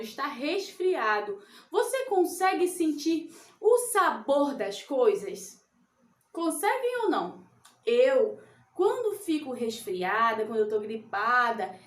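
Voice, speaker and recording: Woman, teacher for young children, drawn-out patient delivery, high voice. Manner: slow, drawn-out, sing-song teaching to young kids. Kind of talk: children's science lesson. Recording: echoey room.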